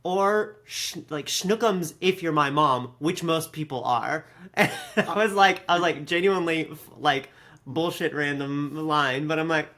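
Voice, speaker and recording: A woman's voice: low-pitched, unhurried, fairly bright tone. A woman in her late thirties chatting casually as co-host of a podcast. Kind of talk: casual chat.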